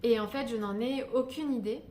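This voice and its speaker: A woman's voice: a little high, normal speed, warm tone, slight background noise. A woman from Paris, talking through her reflections in an unscripted vlog, with many hesitations and "euh" fillers. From Paris, France. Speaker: spiritual guidance vlogger. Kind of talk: unscripted reflective monologue to camera. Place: indoors in Paris during a thunderstorm. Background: faint storm ambience.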